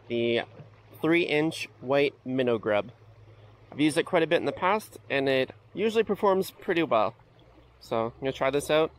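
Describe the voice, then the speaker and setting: A man with a Canadian accent narrating a casual fishing vlog while wading in a river, in a relaxed, easygoing way. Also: mid-range voice. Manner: relaxed, easygoing commentary with quiet reactions. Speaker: fishing vlogger. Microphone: head-mounted action camera. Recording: faint outdoor water noise. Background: rushing river water.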